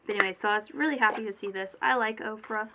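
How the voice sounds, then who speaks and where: A woman's voice: medium pitch, quick pace, background noise. A young woman with a cold, her voice a little off, chatting casually through a beauty unboxing, rambling with fillers and self-corrections. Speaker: beauty vlogger. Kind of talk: casual product unboxing chat.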